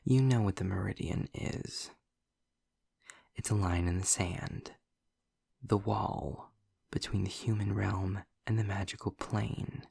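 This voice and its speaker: A man playing a sarcastic, articulate demon in an audio roleplay, with a gravelly, breathy voice and wry, formal delivery. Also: low voice, steady tone, a little mellow, no echo, studio quality, heavily acted. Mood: measured, intent. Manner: wry, formal wording, deliberate pauses. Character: sarcastic, snarky male demon, articulate and testy. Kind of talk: scripted audio roleplay monologue addressed to the listener.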